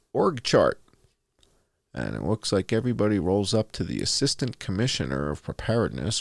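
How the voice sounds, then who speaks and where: A man hosting a commentary, reading documents aloud in a deliberate, announcer-like way with dry, sardonic asides. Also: low-pitched, creaky, dry recording. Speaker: host presenting and reading documents.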